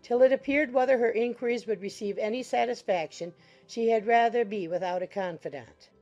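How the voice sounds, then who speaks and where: A woman's voice: on the high side, a little fast, darkish tone, lo-fi sound, faint music behind. A woman narrating a classic novel as scripted literary audiobook narration.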